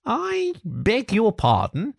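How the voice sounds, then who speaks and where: A man's voice: high voice, wildly swooping pitch, slow pace, vocal fry, no room sound, studio quality, pitched above the performer's own voice, heavily acted. A man voicing a stubborn, sceptical male water rat in a storybook, speaking in a stiff, dogged manner. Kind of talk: storybook character acting.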